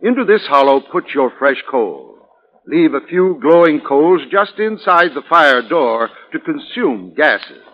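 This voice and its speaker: Male spokesman, vintage radio ad: fairly high, very varied intonation, instructive and deliberate, slightly muffled recording. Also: animated, a little hiss or hum. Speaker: advertising spokesman, home heating expert. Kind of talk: scripted 1940s radio advertising talk, instructional.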